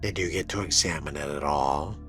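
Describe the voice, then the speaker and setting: A man's voice: rumbling low, fairly quick, fairly bright tone, faint music behind. A man voicing a young fledgling vampire in a video game, terse, inquisitive and a little impatient. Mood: blunt, pressing. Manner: terse, probing questioner. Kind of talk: role-played video game dialogue.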